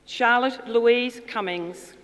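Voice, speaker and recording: High-voiced woman announcing in a reverberant hall. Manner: measured, formal announcing with pauses between names. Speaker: graduation name announcer. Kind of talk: formal ceremonial reading of graduates' names. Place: large indoor ceremony hall. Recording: reverberant hall, audience noise, clear background noise. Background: audience crowd noise.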